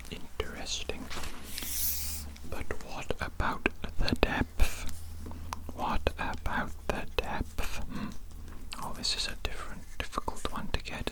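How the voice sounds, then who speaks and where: A performer voicing a curious, blunt alien doctor in an ASMR roleplay, in a soothing breathy whisper with repeated phrases. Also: natural voice, rough recording.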